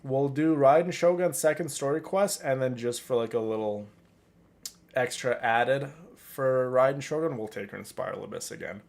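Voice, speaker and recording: A man's voice: mid-range voice, normal speed, creaky, a little mellow. A man chatting casually and familiarly to his viewers while streaming a video game. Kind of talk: casual live stream chat. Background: game music.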